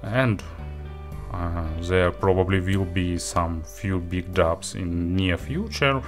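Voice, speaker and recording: A man who speaks English as a second language gives an unscripted product review as an audio gear reviewer, with halting, hesitant phrasing. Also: low-pitched, over music. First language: not English.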